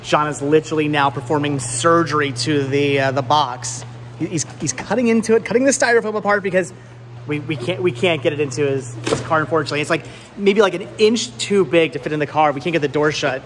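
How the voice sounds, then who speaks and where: A man in his thirties hosting a casual vlog, chatting enthusiastically and rambling with halting, repeated words. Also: a little high, slight background noise. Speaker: vlog host. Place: large indoor flea market and warehouse loading area. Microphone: handheld camera at arm's length.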